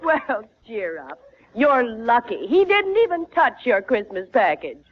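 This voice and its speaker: An actress playing a sensible, teasing, long-suffering housewife in a 1940s radio comedy, with a dry, chiding manner. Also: female voice, a little high, very animated, measured pace, over loud music. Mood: amused, chiding. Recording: muffled 1940s radio recording, loud audience.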